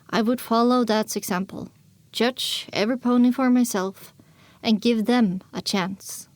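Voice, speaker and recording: A woman playing a young pony mare who tells her own story in earnest, measured audiobook narration. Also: on the high side, dry recording. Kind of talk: scripted first-person audiobook narration.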